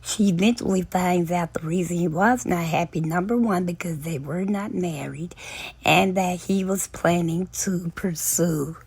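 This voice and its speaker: Raspy hoarse lady, kinda low, chatting thru a book recap, dry close sound. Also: a little mellow, no echo. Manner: unhurried storytelling retelling of a plot, with pauses. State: hoarse from long talking. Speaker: book channel host.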